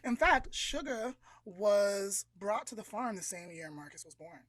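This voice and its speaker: A man's voice: high voice, lively intonation, unhurried, a bit bright. A man with an American accent co-hosting a podcast, casually telling a story in a conversational, approximate way. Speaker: podcast co-host.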